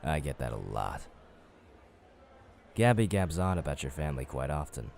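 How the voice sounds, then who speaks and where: Guy acting a smooth scary boss type for an audio drama, super low and dark, cool and formal. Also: big pitch swings, moderate pace, slight background noise, exaggerated voice. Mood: cool, polite. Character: suave, intimidating supervisor, secretly a famous musician.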